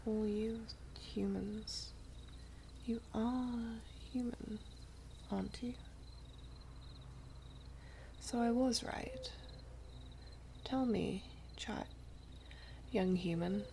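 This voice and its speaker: A woman playing an ageless, gently teasing, wistful elven maiden in an ASMR roleplay, speaking softly and half-whispered with archaic, unhurried phrasing. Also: fairly high pitch, a little hiss or hum, full character voice. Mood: teasing, curious. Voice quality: soft, breathy, half-whispered. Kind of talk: ASMR roleplay, slow intimate storytelling.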